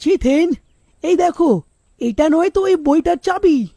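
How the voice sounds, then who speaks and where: A woman voicing an eager, stubborn, playful young male student in a children's cartoon, excitable and insistent. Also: very high-pitched, measured pace, vocal fry, dry recording, clean studio sound, full character voice. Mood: eager, curious.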